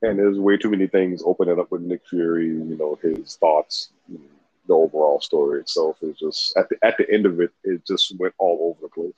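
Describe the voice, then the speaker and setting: A man's voice: low-pitched, steady tone, fairly quick, a little mellow, breathy edge, no room sound. A man co-hosting a podcast in casual chat, rambling and swearing freely as he talks. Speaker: podcast co-host. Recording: dull lo-fi dry recording.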